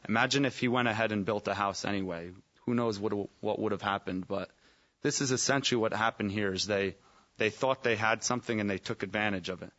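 Tentative low-voiced man in a Bible study. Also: a little fast, a bit bright, dull audio. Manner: thoughtful, tentative, hedging. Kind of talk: group Bible study discussion.

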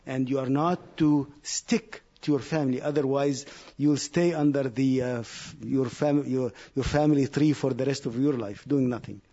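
Young male participant, mellow and earnest, lo-fi sound. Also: mid-range voice, fairly even intonation, slight background noise. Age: young adult. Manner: earnest, thinking aloud with pauses. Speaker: Bible study participant. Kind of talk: earnest discussion. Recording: muffled lo-fi recording.

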